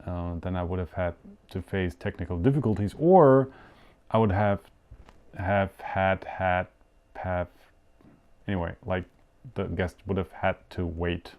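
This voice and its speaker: A young man with German as his first language hosts a casual live show. He talks slowly in a fairly low voice. He hesitates often, restarts sentences and leans on fillers as he searches for words. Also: darkish tone. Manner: hesitant, many fillers and restarts, non-native phrasing. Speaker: show host. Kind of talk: casual live-stream hosting.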